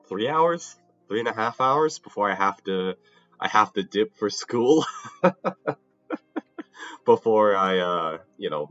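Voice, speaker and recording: A man's voice: on the low side, a bit bright, lo-fi sound. Tired, slightly nasal young man streaming. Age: young adult. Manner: rambling, self-interrupting, laughs often. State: a little sick and tired. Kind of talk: casual livestream chat while gaming.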